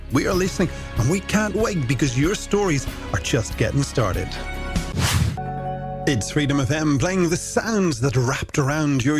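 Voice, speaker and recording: An Irish man presenting a scripted music radio show with playful, wordy, alliterative patter. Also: fairly high pitch. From Ireland. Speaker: radio host.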